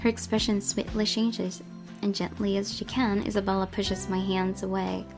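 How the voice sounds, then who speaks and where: A woman's voice: mid-range voice, little pitch movement, normal speed. A woman reading a visual novel aloud as first-person narration. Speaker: gaming channel host reading aloud. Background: music.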